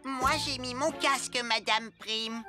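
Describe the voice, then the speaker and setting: A woman's voice: on the high side, fairly even intonation, unhurried, close to an everyday voice. A woman voicing a proud, eager little boy superhero in a French cartoon dub, emphatic and earnest. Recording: loud music behind.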